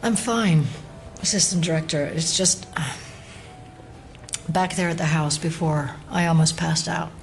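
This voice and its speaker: Serious fed agent, composed but uneasy, radio drama, bit of background noise. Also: female voice, deep voice, measured pace. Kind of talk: audio drama acting.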